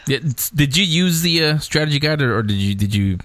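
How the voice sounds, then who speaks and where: A man's voice: fairly high pitch, expressive, brisk, fairly bright tone, no room sound. A man hosting a radio show in casual, chatty conversation.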